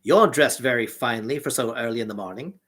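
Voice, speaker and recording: A man voicing a rich, hot-tempered male innkeeper who talks loudly and pleads in panic. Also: mid-range voice, animated, a little fast, close to an everyday voice. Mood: polite. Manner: loud, frantic, pleading.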